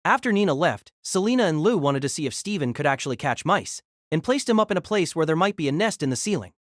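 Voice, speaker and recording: Storybook narrator, lively pitch, close and dry. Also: fast, no echo. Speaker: narrator of a fan audio drama.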